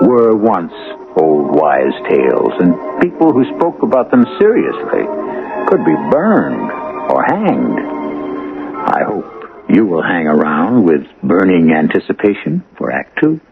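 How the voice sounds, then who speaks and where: An actor playing the host of a radio mystery series, narrating theatrically with deliberate dramatic pauses and philosophical, rhetorical questions. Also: male voice, low voice, gravelly, narrow, tinny audio, exaggerated voice. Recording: band-limited old radio recording.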